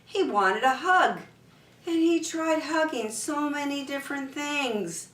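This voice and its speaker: A woman reads a picture book for young children at an unhurried pace. Her pitch rises and falls expressively, with key words drawn out. The room around her is echoey. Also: lively intonation, a bit bright. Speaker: storyteller. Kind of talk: animated children's storybook reading.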